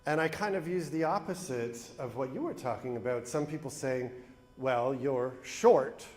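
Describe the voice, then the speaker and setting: A man with a big voice, a mental health educator giving a lively talk to an event crowd on a handheld mic. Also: moderate pace, echoey room. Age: young adult. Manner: loud, booming, engaging the crowd. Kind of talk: motivational talk to an audience. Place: event hall. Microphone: handheld microphone.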